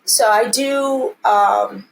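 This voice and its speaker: A woman's voice: fairly high pitch, extremely slow, vocal fry, a little hiss or hum, poor audio. A woman in her thirties from Armenia, speaking earnestly and encouragingly as she answers interview questions conversationally. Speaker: interview guest, commercial real estate team lead.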